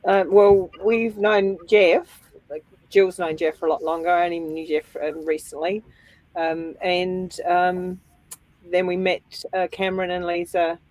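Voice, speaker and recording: A woman's voice: lowish voice, little pitch movement, measured pace, a little mellow, no echo, low-quality recording. A woman from Adelaide, a guest giving short, chatty answers. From Adelaide, Australia. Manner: brief, chatty answers with small laughs.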